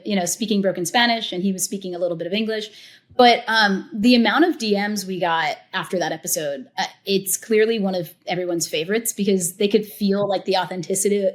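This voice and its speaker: Chatty woman guest, animated. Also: medium pitch. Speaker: podcast guest, tequila educator. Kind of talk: casual interview talk.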